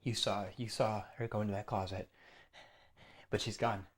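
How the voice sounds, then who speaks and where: A man's voice: fairly low pitch, level tone, moderate pace, natural voice. A man acting an urgent character in found-footage horror, insistent and repeating himself. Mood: agitated, insistent.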